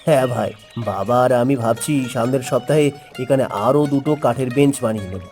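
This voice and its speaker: A man's voice: medium pitch, quick pace, darkish tone, soft background music, natural voice. A man voicing a hopeful young village stallkeeper in a cartoon story, earnest and polite.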